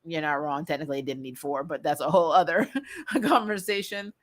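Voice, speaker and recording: A woman's voice: low-pitched, brisk. A woman chatting casually as a livestream host, giving candid, informal fan opinions and often breaking into amusement. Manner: candid, informal, mild swearing; laughs often while talking.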